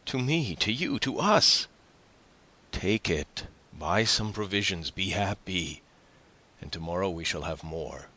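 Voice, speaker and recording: A man's voice: low-pitched, expressive, slow, bright, forceful tone, pitched above the performer's own voice, heavily acted. A man voicing an eager, generous young sailor in an audiobook, speaking earnestly and with animation. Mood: excited, generous.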